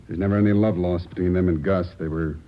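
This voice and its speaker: A man's voice: sits low, fairly even intonation, fast talker, natural voice. A man acting a cool, guarded underworld figure in an old radio crime drama, with clipped, evasive delivery. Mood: guarded, matter-of-fact. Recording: dull, noisy old radio recording.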